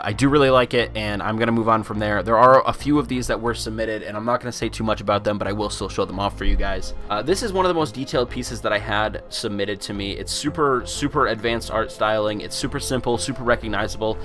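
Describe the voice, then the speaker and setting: A man's voice: on the low side, fairly bright tone. A young man with a cold, chatting casually over a screen recording as he reviews fan art, rambling and repeating words. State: congested from a cold. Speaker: gaming YouTuber.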